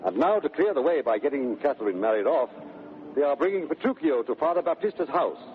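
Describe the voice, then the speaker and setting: A man's voice: a little high, lively intonation, brisk, a little hiss or hum, lightly acted. A man with a British accent narrating a scene in a scripted, storytelling 1930s radio manner. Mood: explanatory, wry. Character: scene-setting narrator explaining the play. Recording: narrow vintage radio, music behind.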